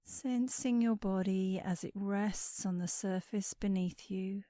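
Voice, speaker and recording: A woman instructor narrates a guided meditation for sleep. Her voice is mellow and relaxed, mid-pitched, and soothing. She speaks very slowly, letting phrases trail off into breath. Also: no echo, clean studio sound.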